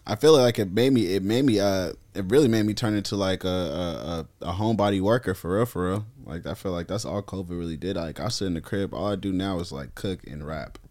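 A man's voice: low-pitched, brisk, hardly any pauses, darkish tone. A young man, a rapper guest, in a laid-back casual podcast chat full of slang. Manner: laid-back slangy talk, says bro a lot.

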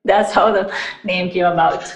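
A woman's voice: on the low side, fairly even intonation, moderate pace, highs cut off. A woman from Pakistan, raised in the US, guesting on a podcast and chatting in an upbeat way with lots of "like" and "you know". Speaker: podcast guest, wellness business founder. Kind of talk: casual interview chat. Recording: echoey room.

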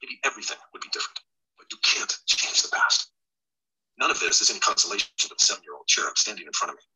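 A man voicing a haunted, world-weary detective in an intense first-person audiobook narration, husky and raspy. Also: lowish voice, sing-song, quick pace, sharp tone, heavily acted, poor audio. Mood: haunted, brooding.

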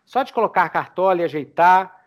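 A Brazilian man chatting casually as a podcast host, telling stories in an animated way. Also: sits high, a little fast. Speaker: podcast host, game master.

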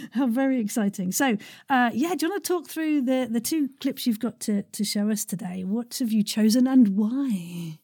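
A woman's voice: on the high side, moderate pace, dark tone, husky, no room sound, clean studio sound. A woman hosting a casual interview, talking in an effusive, enthusiastic and wordy way. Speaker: podcast host.

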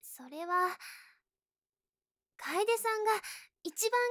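A woman's voice: very high-pitched, animated, very slow, fairly bright tone, no room sound, clean studio sound, heavily acted. A woman playing a shy, earnest, polite young customer in a Japanese voice drama, bashful and easily flustered. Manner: bashful, hesitant, cute. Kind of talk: Japanese situation voice drama acting.

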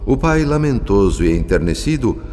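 Deep, solemn male radio drama narrator. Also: very animated, moderate pace, darkish tone, in a large hall, slight background noise. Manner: solemn, literary, theatrical delivery.

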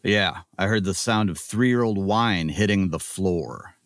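A co-host in a comedic audio sketch, making dry, deadpan wisecracks. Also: low-pitched, measured pace, no echo.

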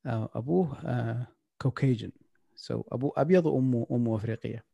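A man's voice: mid-range voice, quick pace, gravelly, dark tone, breathy edge, no echo, dull audio. A man hosting a casual podcast chat, reflective, slipping English phrases into his talk. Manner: reflective, mixes in English phrases, many restarts.

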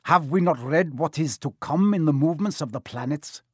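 A man's voice: on the high side, normal speed, husky, dry recording, clean studio sound. A proud and wrathful centaur, performed by a man, thunders in formal, accusing phrases. He is a stern storybook creature, with his voice pushed up in indignation. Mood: outraged. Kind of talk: storybook character voice.

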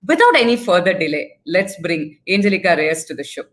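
A woman's voice: on the low side, big pitch swings, fairly quick. A woman hosting a podcast interview, introducing and praising her guest in a formal, effusive way. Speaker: podcast host.

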